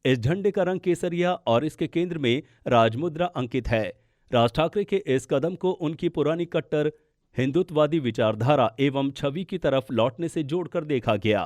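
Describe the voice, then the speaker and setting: A man's voice: on the high side, normal speed, darkish tone, dry recording, studio quality. A man narrating a scripted political explainer as a news podcast presenter.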